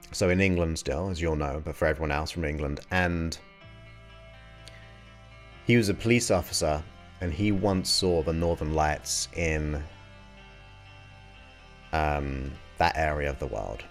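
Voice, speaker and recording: A man in his forties, a hypnotist, hosting a casual live Q&A, chatting in a relaxed way and reading viewer questions aloud. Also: low voice. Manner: relaxed, chatty, reads questions aloud and muses between them. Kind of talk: casual live-stream Q&A chat.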